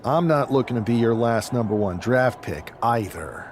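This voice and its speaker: A man's voice: medium pitch, expressive, moderate pace, slight background noise, natural voice. An actor playing a fearless, cocky male pro wrestler talking tough in a video game cutscene.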